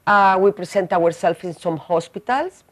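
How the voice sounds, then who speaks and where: Venezuelan woman, lowish voice, chatty guest. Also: normal speed. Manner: chatty, warm laughs, frequent fillers and restarts. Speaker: guest business owner. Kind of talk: interview conversation.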